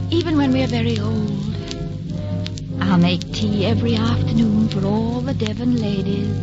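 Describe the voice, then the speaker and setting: An actress playing a devoted, self-sacrificing lady lover in an old-time radio romance, speaking in a solemn, declamatory way. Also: a little high. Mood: wistful. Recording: muffled old radio broadcast, music behind.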